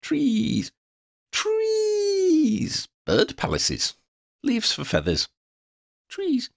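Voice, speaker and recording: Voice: mid-range voice, wildly swooping pitch, measured pace, creaky, husky, no room sound, studio quality, pitched above the performer's own voice, exaggerated voice. A man voicing a gangly, muddle-headed wandering vagabond in a storybook, with quaint old-fashioned phrasing and a rambling, easily distracted manner. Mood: excited, whimsical.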